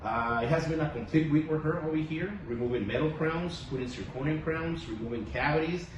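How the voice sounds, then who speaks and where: A man's voice: a little high, brisk. A man, a dentist from Mexico with a Mexican Spanish accent, chatting casually with a patient and filling his talk with "okay?" and "you know?". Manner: friendly, rambling, tag questions like "okay?" and "you know?". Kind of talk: casual clinic testimonial chat. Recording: echoey room, far from mic, audible noise.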